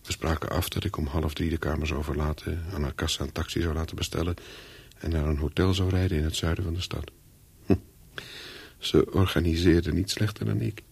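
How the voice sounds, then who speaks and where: An actor playing a calculating, persuasive young spy in a radio play, with terse, controlled speech and a husky voice. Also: male voice, a bass voice, fairly even intonation, a little fast, darkish tone, exaggerated voice. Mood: reflective narration. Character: calculating young male spy, persuasive, looking back as narrator. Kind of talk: radio play dialogue and first-person narration.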